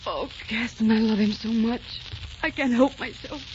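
An actress playing a proud, passionate young romantic heroine with a melodramatic, emotional delivery in 1940s radio style. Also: female voice, a little high, moderate pace, background noise, natural voice. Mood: tender, loving. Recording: dull 1940s radio recording with music.

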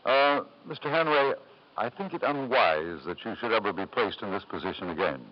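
An actor playing a ruthless self-made millionaire in a 1950s radio drama, speaking with measured, polite self-assurance. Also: male voice, on the low side, animated, close to an everyday voice. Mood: coolly polite. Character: ruthless, smooth self-made millionaire businessman and doting father. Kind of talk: 1950s radio drama acting, narrating and dialogue. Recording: muffled, noisy 1950s radio broadcast.

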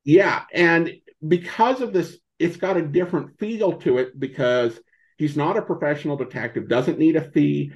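A man speaking as a podcast guest in casual interview chat, halting as he thinks aloud. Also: a little high, muffled recording. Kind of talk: casual interview chat about old radio shows.

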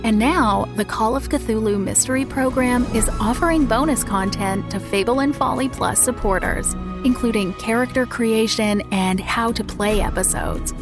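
Creaky, higher-voiced woman ad announcer. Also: normal speed. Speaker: advert announcer. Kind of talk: scripted ad voice-over. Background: music.

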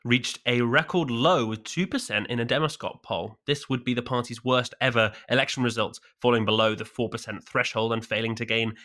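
A man's voice: medium pitch, brisk, hardly any pauses, bright, forceful tone. A man co-hosting a scripted news roundup, reading headlines with dry, wry asides. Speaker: news podcast co-host.

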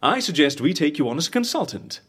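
A suave fixer in an audio drama, all business and wry theatricality. His voice is husky and swoops widely in pitch. He speaks articulately and persuasively, like someone selling a scheme. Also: very animated, quick pace, fairly bright tone, heavily acted. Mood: genial persuasion. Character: suave, businesslike agency fixer who stages fake deaths, wry and theatrical.

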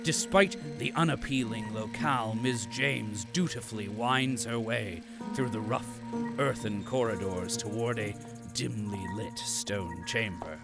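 Gravelly, pompous propaganda narrator. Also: male voice, fairly low pitch. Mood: pompous, disdainful. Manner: pompous, florid, measured. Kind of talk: scripted audio drama narration. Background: music.

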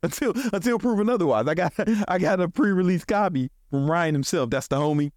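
A man's voice: high-pitched, animated, fairly quick, darkish tone, no room sound, studio quality. An American man in his forties hosting a podcast, giving casual motivational talk in colloquial, profane language. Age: 40s. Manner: colloquial, profane, chuckles often. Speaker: podcast host.

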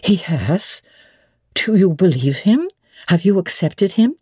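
A woman's voice: lowish voice, expressive, slow pace, darkish tone, husky, dry recording, studio quality, exaggerated voice. A woman voicing an elderly widowed housekeeper who is bewildered and cautious, speaking in a hesitant, fretful way in a classic novel reading. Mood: doubtful. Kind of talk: dramatic audiobook reading of classic literature.

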